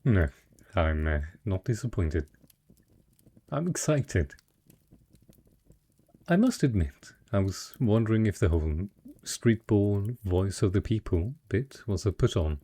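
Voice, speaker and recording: A man playing a dragon disguised as a wealthy, possessive patron in a romantic fantasy audio roleplay, speaking with refined, effusive eloquence and a husky voice. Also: fairly low pitch, expressive, slow, pauses often, mellow, exaggerated voice. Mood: excited, effusive.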